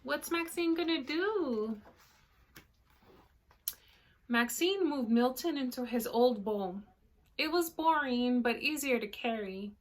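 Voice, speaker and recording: A woman reading a picture book aloud to children in an animated way, pausing to explain words and ask questions. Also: sits high. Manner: animated, checks in with listeners, explains words simply. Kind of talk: expressive read-aloud with explanatory asides for children.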